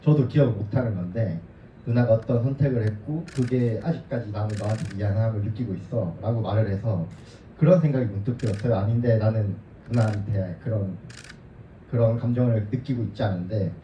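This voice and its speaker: A man, a film director, answering audience questions at a screening, speaking softly and thoughtfully. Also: lowish voice, fairly quick, a little hiss or hum. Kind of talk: festival Q&A answer. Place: cinema auditorium. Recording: dull, roomy, distant hall sound.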